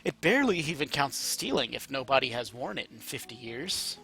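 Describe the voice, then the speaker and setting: Casting: male amateur storyteller, lively intonation, higher-set voice, faint music underneath. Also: gravelly. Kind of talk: second-person story narration with character dialogue.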